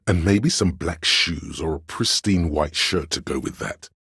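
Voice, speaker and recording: A sly, flamboyant courtier of a video game, an inner voice devoted to drama. The voice is extremely deep and very husky, with gravel in it. He addresses his lord in slow, arch, theatrical phrases. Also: fairly bright tone, no room sound, clean studio sound, exaggerated voice. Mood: mock solemn. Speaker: game voice actor.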